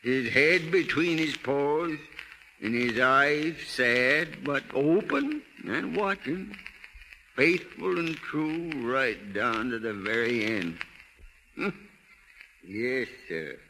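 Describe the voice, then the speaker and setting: An actor playing a folksy, stubborn old hound owner with a rural American drawl and halting, plain-spoken manner in a 1930s radio drama. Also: male voice, medium pitch, pushed and bright, low-quality recording. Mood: tender, solemn. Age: older. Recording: hissy 1930s radio recording with clicks.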